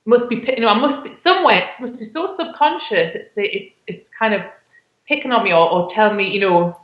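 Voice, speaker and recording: A woman's voice: medium pitch, fairly quick. A woman co-hosting a casual self-help chat, talking in a reflective, rambling way and often breaking off mid-phrase. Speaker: co-host. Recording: echoey, dull online call audio.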